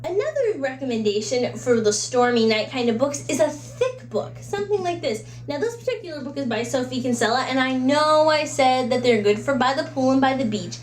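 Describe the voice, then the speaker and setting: A woman with a high-pitched voice talks casually, like a vlogger recommending books to viewers. Her delivery is conversational, with small acted-out asides. The room adds noticeable echo, and a faint hum sits behind her. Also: expressive, a little fast, hardly any pauses. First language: English. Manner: conversational, acts out little imagined quotes and asides. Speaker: book reviewer giving recommendations. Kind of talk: casual, chatty video book recommendations.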